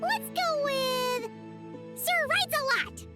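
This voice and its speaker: Squeaky, cheeky little fairy companion. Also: female voice, lively intonation, slow, over music, heavily acted. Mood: teasing. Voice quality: squeaky, childlike. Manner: teasing, refers to self in third person. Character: small chirpy childlike fairy companion, cheeky and talkative. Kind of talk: animated video game voice acting.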